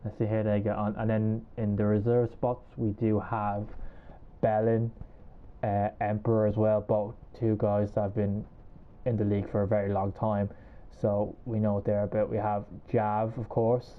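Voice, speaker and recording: A man's voice: lowish voice, flat delivery, normal speed. A man with an Irish accent hosting a casual podcast, chatty and hedging as he runs through a list. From Ireland. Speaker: podcast host. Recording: tinny phone-like call audio.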